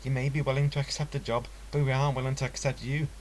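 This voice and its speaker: A man's voice: medium pitch, little pitch movement, fast talker, pitched above the performer's own voice, close to an everyday voice. A man voicing a scornful, derisive online encyclopedia user in a storybook reading. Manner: jeering, derisive. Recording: hissy home recording.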